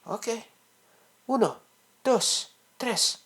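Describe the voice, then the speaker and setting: A woman's voice: a little high, animated, slow, a bit bright, slightly rough, exaggerated voice. A woman playing a caring, bossy young friend in an audio drama, scolding yet motherly. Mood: warm, helpful.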